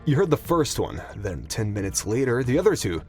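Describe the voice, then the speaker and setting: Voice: mid-range voice, very animated, a little fast, a little mellow, faint music behind. A performer playing a level-headed, logical young trial participant in an audio drama, explaining things step by step. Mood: calm, reasoning. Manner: reasoning, explanatory. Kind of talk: anime-style audio drama.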